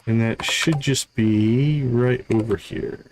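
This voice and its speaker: A man's voice: medium pitch, slow, darkish tone, rough recording. Male game streamer over background noise. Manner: thinks aloud, counts and lists items. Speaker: live streamer, gaming host. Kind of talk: casual gameplay commentary, talking through tasks.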